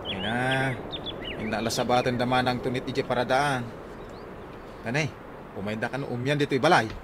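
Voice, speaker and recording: Man acting a fast-talking Ilocano tricycle driver. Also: mid-range voice, steady tone, noisy background, lightly acted. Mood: hurried, coaxing. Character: hurried, pushy male tricycle driver hustling passengers. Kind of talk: Ilocano radio comedy drama acting.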